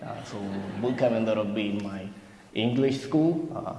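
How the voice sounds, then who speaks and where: A veteran from Puerto Rico, a Spanish native speaker with a Puerto Rican Spanish accent, recalling his service in a halting way with many fillers. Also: lowish voice, darkish tone, echoey room, in a large hall, slight background noise. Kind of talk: recorded interview reflection.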